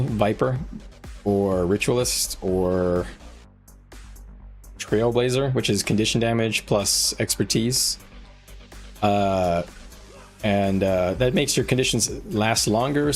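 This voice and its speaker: Low-voiced man chatting casually on stream. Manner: informal, thinking aloud, conversational. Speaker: game streamer. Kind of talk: casual live-stream chat, giving gameplay advice to viewers. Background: music.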